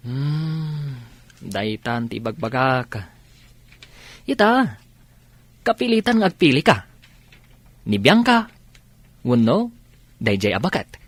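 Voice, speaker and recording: A man's voice: mid-range voice, very animated, slow, pauses often, no room sound. A man with a Filipino accent playing a nosy, teasing buddy with exaggerated banter in a comedy radio drama. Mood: exasperated, mocking.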